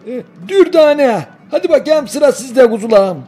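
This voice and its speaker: A man's voice: extremely high pitch, animated, measured pace, over music. A man voicing an affectionate, playful old village grandfather in a cartoon, with folksy, doting talk in a Denizli Aegean Turkish accent. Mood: playful, encouraging. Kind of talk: cartoon voice acting.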